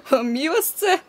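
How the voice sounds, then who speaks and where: A woman's voice: extremely high pitch, a little hiss or hum, exaggerated voice. A young woman playing a cheeky, giggly village bride-to-be with a Kashubian accent in an amateur radio play. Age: young adult.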